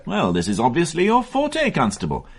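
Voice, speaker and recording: A husky, sardonic inspector. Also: male voice, fairly high pitch, wildly swooping pitch, a little fast, exaggerated voice. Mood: dry, sardonic. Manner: dry, sardonic, commanding. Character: wry, sharp-tongued male police inspector. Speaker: police inspector. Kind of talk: radio drama acting.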